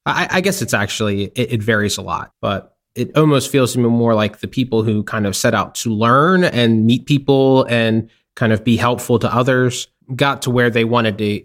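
A man hosting a casual interview, chatty, using many fillers and laughing easily. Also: mid-range voice. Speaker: podcast host.

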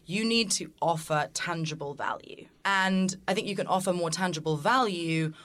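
A woman's voice: fairly low pitch, moderate pace, fairly bright tone. A woman on a panel making a businesslike, earnest point.

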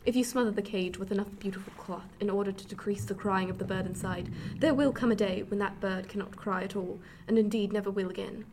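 A woman's voice: mid-range voice, steady tone, quick pace, hardly any pauses, lightly acted. A woman plays a bitter 18th-century lady and mother in a radio drama. She speaks in formal period phrases with a dry, cutting edge, over faint background noise. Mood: bitter, grim.

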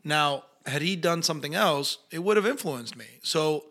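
Bright-voiced man with an Argentine accent. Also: a little high, moderate pace. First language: Spanish. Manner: animated storyteller acting out quoted voices. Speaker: actor guest. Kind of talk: casual interview storytelling.

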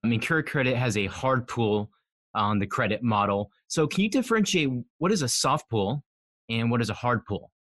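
A man's voice: mid-range voice, expressive, normal speed, a bit bright, no echo. A man who works as an optometrist, co-hosting an interview and asking short clarifying questions. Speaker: optometrist co-host. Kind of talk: industry interview.

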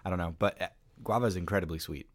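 A man's voice: low voice, rapid-fire. A man guesting on a podcast, telling wry jokes and laughing along. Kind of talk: casual podcast chat.

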